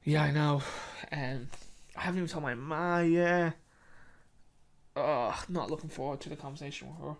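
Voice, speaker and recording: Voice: mid-range voice, moderate pace, lightly acted. A storyteller voicing a dejected young Irish man who rambles wearily and hesitantly in a short story. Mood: weary.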